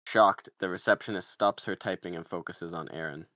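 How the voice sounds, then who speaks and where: A man's voice: sits low, a little fast, bright, forceful tone, dry recording, studio quality. A man narrating screenplay stage directions as a scripted read.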